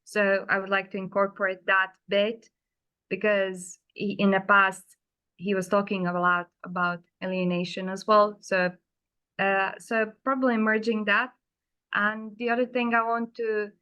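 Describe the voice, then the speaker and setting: A woman's voice: medium pitch, highs cut off. A woman speaking as a panelist in a round-table webinar, earnest and searching for her words as she explains her plans for the stage. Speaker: panelist, theatre maker.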